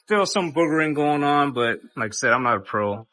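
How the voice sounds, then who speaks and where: Casual sweary man over clear background noise. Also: on the high side, animated, brisk, pushed and bright. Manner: laid-back, sweary, plain-spoken. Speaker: host of a DIY car build channel. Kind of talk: casual unscripted build walkthrough. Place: garage workshop.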